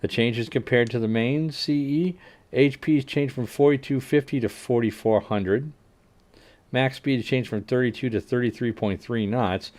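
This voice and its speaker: A man hosting a livestream, casually reading game update notes aloud and adding wry asides that trail off quietly. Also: mid-range voice, moderate pace. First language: English. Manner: frequent fillers and restarts while working out numbers. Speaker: livestream host.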